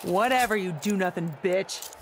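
An actress playing a tough, foul-mouthed special forces fighter in video game banter, blunt and combative. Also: female voice, medium pitch, normal speed, lightly acted. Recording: loud background noise.

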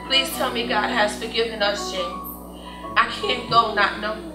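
An American woman playing a gravely ill, guilt-ridden woman in a gospel stage play, pleading earnestly and haltingly. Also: a little high, steady tone, natural voice. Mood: weary, fearful. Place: indoor stage before an audience. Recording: echoey stage, distant mic, music.

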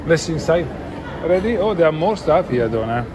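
A man's voice: fairly high pitch, sing-song, a little fast, music in the background. A man in his forties talks casually to a phone camera held at arm's length as he tours an indoor mall and restaurant, thinking aloud in non-native English phrasing. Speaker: travel vlogger. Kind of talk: casual vlog narration. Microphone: handheld phone camera at arm's length. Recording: loud crowd and mall noise. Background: crowd chatter and mall noise.

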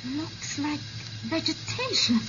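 A woman playing a tender, devoted wife in a 1950s radio drama, with earnest, halting dramatic delivery. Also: high voice, big pitch swings. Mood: tender resigned. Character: tender, devoted young wife facing death. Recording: muffled, slightly noisy old radio recording.

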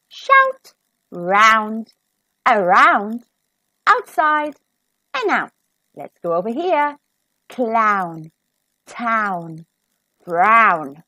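A woman reading a rhyming storybook to children in an expressive, sing-song, carefully enunciated way. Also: on the high side, no room sound.

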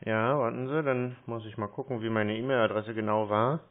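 A man's voice: fairly low pitch, steady tone. Hesitant man on a tinny phone line. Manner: hesitant, slow-witted, rambling explanations. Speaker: caller posing as a confused customer. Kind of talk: casual phone conversation.

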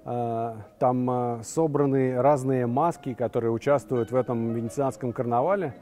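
A young man speaks with a dark, mellow tone in a large, empty concert hall, and faint music plays behind him. He tells his story fluently and at an even pace. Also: mid-range voice, normal speed. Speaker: guitarist and festival organizer. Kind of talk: interview recollections.

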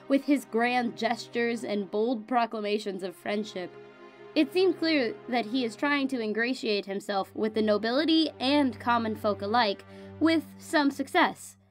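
A woman playing a cool, haughty royal spy reading a formal, measured, disdainful report in an audio drama. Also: on the high side. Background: music under the voice.

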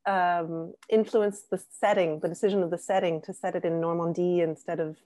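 Young female interviewer, lowish voice, dry close sound, video call. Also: fairly even intonation, brisk, warm tone, no room sound. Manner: hesitant, many fillers. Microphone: video call, earphone mic.

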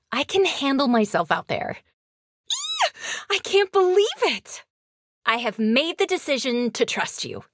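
A bubbly young princess from a video game. Her voice is very high and swings wildly up and down with breathless, sweet eagerness. The recording is dry and close. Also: dry recording, exaggerated voice. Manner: breathless, excitable, sweet, expressive. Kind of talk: animated video game character voice clips.

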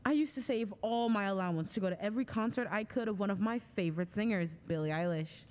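A woman's voice: mid-range voice, fast, darkish tone. A young woman performing scripted narration for a stage radio play. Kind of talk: scripted narration and sponsor skit. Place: school auditorium stage.

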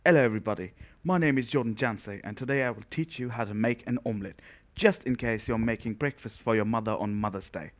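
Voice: medium pitch, quick pace, natural voice. An actor playing a self-important, touchy cartoon television chef with an emphatic delivery. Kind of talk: cooking show parody, cartoon.

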